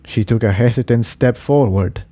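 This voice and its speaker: A man narrates an adventure story as a scripted reading. His voice is mellow and relaxed, and the recording is close and dry. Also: mid-range voice, normal speed, no room sound. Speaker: audiobook reader of fan fiction.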